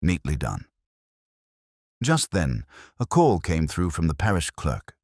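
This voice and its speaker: Very deep-voiced man narrating a mystery. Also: huge pitch swings, a little slow, darkish tone, no room sound, clean studio sound. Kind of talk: scripted mystery story narration.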